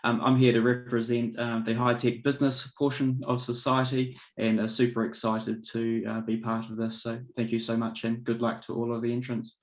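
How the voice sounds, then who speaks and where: A man's voice: mid-range voice, little pitch movement, moderate pace, few pauses. A man giving a businesslike corporate self-introduction in an echoey, muffled recording. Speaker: chief executive, competition judge. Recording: echoey room, dull muffled sound.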